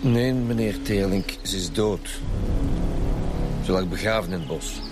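A grumpy Flemish police chief in a radio crime drama. His voice is low, his orders are dry and curt, and music plays clearly underneath. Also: a little slow. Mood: businesslike. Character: weary, grumpy male police chief inspector.

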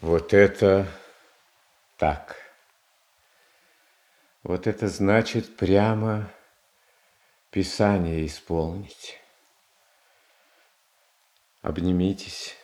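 An actor playing a gentle, pious, childlike tsar who longs for peace, speaking tenderly and haltingly in an audio tragedy. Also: male voice, deep voice. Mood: tender, relieved. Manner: tender, halting, earnest delivery. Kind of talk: classical Russian verse tragedy in an audio play.

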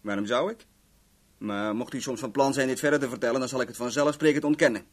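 An actor playing a cocky, talkative young garage owner in a Dutch radio play, rambling and self-assured. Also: male voice, mid-range voice, fast talker, close to an everyday voice. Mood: frank, offhand.

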